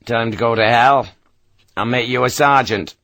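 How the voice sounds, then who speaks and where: A man's voice: mid-range voice, moderate pace, a bit bright, no room sound, natural voice. A man doing a hot-tempered British officer. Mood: curt. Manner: curt, swearing, clipped retorts. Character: weary, hot-tempered British rifle lieutenant.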